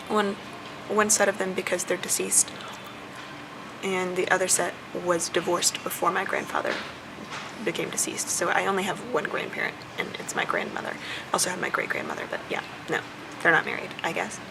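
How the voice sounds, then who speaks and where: A young American woman with a lot of creak in her voice talks casually, like a vlogger answering questions for her viewers. She chews gum as she goes. Clear ambient noise sits behind her. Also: mid-range voice. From southern United States. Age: young adult. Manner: chewing gum while talking.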